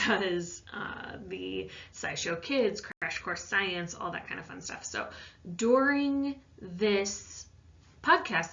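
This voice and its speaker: A woman speaks as a science teacher walking students through an assignment. Her delivery is casual and conversational, with informal asides, at an average pitch. The recording is a little dull and lo-fi. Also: sharp tone. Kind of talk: explaining an assignment, informal lesson voice-over.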